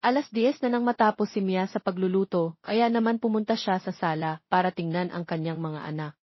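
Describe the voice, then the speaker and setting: A woman's voice: lowish voice, a little fast, no echo, lo-fi sound. A woman narrating a scripted romance audio story.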